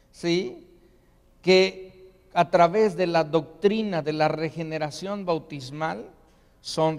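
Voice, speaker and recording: High-voiced pastor preaching. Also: male voice, long reverb tail. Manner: earnest preaching with frequent rhetorical questions and quoted asides. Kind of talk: sermon. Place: church sanctuary.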